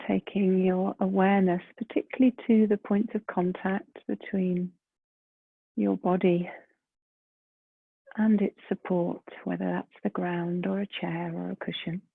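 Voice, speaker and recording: A woman leading a guided meditation in a gentle, soft, breathy voice, unhurried, with long pauses between phrases. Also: fairly low pitch, level tone, dry recording. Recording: muffled phone-like lo-fi sound.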